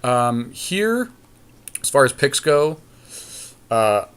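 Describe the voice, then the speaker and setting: A man's voice: mid-range voice, very animated, drawn-out words, fairly bright tone, clear, resonant tone. A man co-hosting a gaming podcast, giving casual commentary on an online card game with a friend and griping dryly with the odd mild swear.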